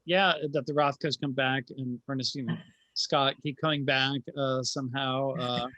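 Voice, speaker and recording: A man speaking informally as a playwright on a panel, in a halting way with repeated words and trailing thoughts. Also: mid-range voice, steady tone, pushed and bright, dull audio.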